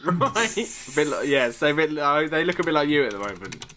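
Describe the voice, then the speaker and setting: A man's voice: on the high side, very animated, a little fast, sharp tone, slight background noise. A man co-hosting a comedy podcast with casual, teasing and incredulous banter, often laughing. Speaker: podcast co-host.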